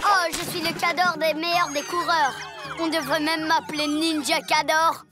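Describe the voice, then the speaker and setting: A woman's voice: high-pitched, flat delivery, normal speed, natural voice. A woman voicing a boastful, mischievous young ninja boy villain with a cocky manner in a cartoon dub. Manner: cocky, bragging. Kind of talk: animated cartoon dubbing. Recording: music behind the voice. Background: music.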